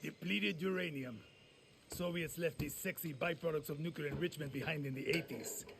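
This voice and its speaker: Game casting: a profane, gravelly old guerrilla with a raised, rough edge; steady noise beneath. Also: male voice, on the high side, normal speed. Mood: boastful. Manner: profane, swaggering, laughs at danger. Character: grizzled older male guerrilla veteran, crude and boastful. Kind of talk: video game cutscene acting.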